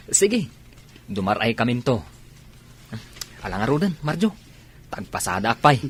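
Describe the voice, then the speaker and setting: Man acting an easygoing driver, mid voice, animated, radio drama, faint background noise. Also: medium pitch, measured pace. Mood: friendly.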